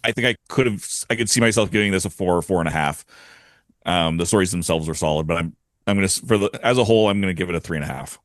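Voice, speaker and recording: Man, guest panelist, slightly low pitch, casual review talk. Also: rapid-fire, no echo, clean studio sound.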